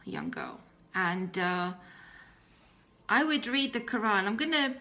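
A woman in her thirties giving an informal personal testimony to camera, speaking in an earnest, reflective, halting way and repeating phrases for emphasis. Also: mid-range voice, wildly swooping pitch, sharp tone. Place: small room at home, indoors. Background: music.

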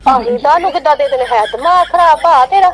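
A woman's voice: high voice, fast talker, noisy background. A woman with a Punjabi accent in a phone conversation, protesting and arguing with a caller. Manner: argumentative, protesting. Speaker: prank call recipient.

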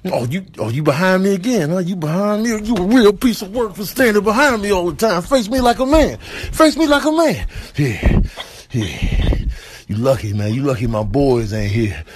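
A man's voice: high-pitched, animated, moderate pace, a little hiss or hum. A man voicing a cocky, confrontational animal in a comic video voiceover, slangy and repetitive. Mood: indignant. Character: cocky, taunting male animal spoiling for a fight.

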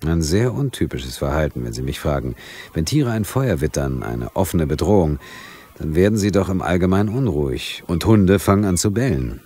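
An actor playing a skeptical, probing police investigator in a crime audio drama, with a dry manner. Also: male voice, low voice, sing-song, moderate pace, warm tone, husky, exaggerated voice. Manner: dry, questioning.

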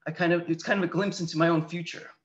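A man's voice: fairly high pitch, steady tone, quick pace. A Canadian man in his thirties giving an open, reflective seminar presentation in a conversational way over a video call. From Canada, near Toronto. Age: 30s. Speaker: guest speaker, patient advocate. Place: small room indoors, on a video call. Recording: dull lo-fi video call audio.

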